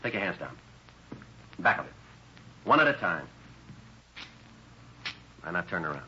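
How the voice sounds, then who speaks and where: A man playing a terse, no-nonsense police detective in a deadpan, clipped 1950s radio style. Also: deep voice, measured pace, exaggerated voice. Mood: dry, unimpressed. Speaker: actor, narrator and lead of a radio police drama. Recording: dull, noisy 1950s radio recording.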